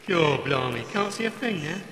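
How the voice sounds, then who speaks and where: A man's voice: a little high, animated, moderate pace, lightly acted. A man voicing a grumbling, cheeky old working-class robot with a British accent, blokey and sarcastic, in a comic audio drama. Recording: echoey hall, crowd noise behind.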